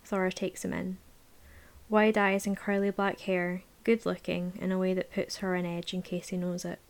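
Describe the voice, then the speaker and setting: Woman narrator with measured, hushed reading. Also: fairly low pitch, little pitch movement, clean tone. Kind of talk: audiobook narration, present tense.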